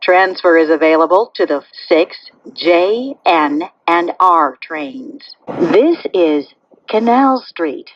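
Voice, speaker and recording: A woman reading scripted automated train announcements in measured, segmented phrases. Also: lowish voice, animated, lo-fi sound.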